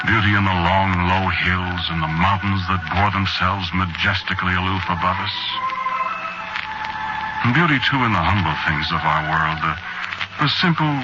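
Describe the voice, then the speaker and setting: An actor playing a grave, sorrowful man delivering a solemn, deliberate monologue in a 1940s radio drama. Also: deep voice. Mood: wistful. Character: grave, sorrowful man mourning his destroyed world. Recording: noisy, muffled 1940s radio broadcast.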